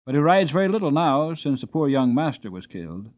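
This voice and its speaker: A man's voice: a little high, sing-song, a little fast. A man voicing a polite, earnest young male groom, in a slightly dull recording.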